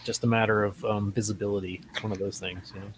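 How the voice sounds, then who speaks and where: A man co-hosting a casual, unscripted talk show, speaking in a halting way with frequent ums and pauses. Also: fairly low pitch, little pitch movement, breathy edge, poor audio.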